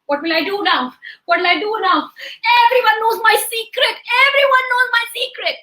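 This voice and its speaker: A woman's voice: very high voice, a little fast, pushed and bright, roomy sound, far from the mic. A woman voicing an anxious storybook king with a secret, fretting in repeated phrases. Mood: panicked. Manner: fretful, repetitive.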